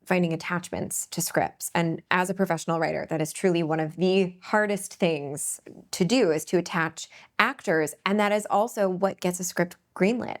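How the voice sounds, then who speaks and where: Woman host gushing about a table read, kinda low voice, eager. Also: normal speed. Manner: enthusiastic, gushing. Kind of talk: casual panel chat.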